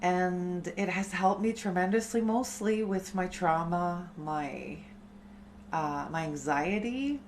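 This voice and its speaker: A woman in her thirties, a chanting teacher, talking softly and unhurriedly to her live-stream community. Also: on the low side, a little hiss or hum. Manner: slow, soft, unhurried spiritual talk.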